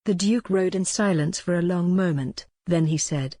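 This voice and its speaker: A woman's voice: lowish voice, normal speed, warm tone, no room sound. A woman narrating a scripted historical romance audiobook.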